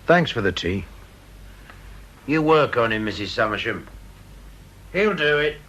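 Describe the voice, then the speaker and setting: A man's voice: mid-range voice, sing-song, measured pace, a bit bright, highs cut off. An actor playing a polite male henchman in a radio drama, deferential and regretful as he threatens. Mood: polite menace. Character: apologetic, courteous male henchman, quietly threatening.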